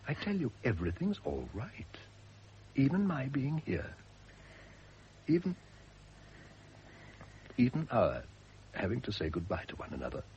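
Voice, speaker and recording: An actor in a radio drama playing a gentle, reflective condemned husband who faces death calmly and speaks tenderly. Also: male voice, mid-range voice, a little slow, long pauses, highs cut off, slight background noise. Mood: earnest, consoling. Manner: literary, reflective, tender, with whispered drops. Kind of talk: classic radio drama acting.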